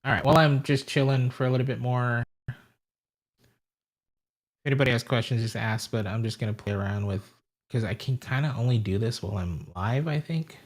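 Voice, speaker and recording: A man's voice: mid-range voice, fairly quick, pauses often. A man hosting a casual live stream, greeting viewers and rambling aloud while he fiddles with settings. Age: adult with a wife and family.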